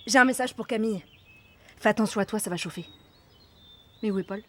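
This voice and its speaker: A woman acting a young Resistance messenger in an audio drama, tender and warm yet guarded. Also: mid-range voice, brisk, natural voice.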